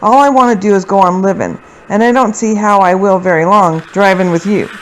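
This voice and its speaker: A woman's voice: medium pitch, brisk, lightly acted, poor audio. A woman acting a sassy, wary young female hitchhiker in an old radio suspense style, blunt and wisecracking. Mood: alarmed, fed up.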